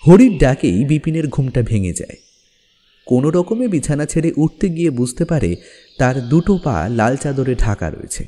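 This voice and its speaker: A man's voice: medium pitch, big pitch swings, moderate pace, warm tone. A man narrating a scripted ghost story in a dramatic, suspenseful manner. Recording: long reverb over background music. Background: music.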